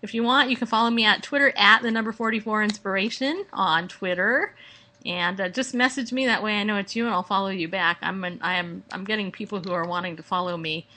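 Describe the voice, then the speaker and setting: A woman with a bright, forward voice at an average pitch hosts a relaxed solo podcast. She rambles playfully and sounds animated, chatting informally as if to friends. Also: a little fast. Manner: playful, rambling, acts out mock exchanges. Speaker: podcast host. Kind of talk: casual solo chat. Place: at home, indoors.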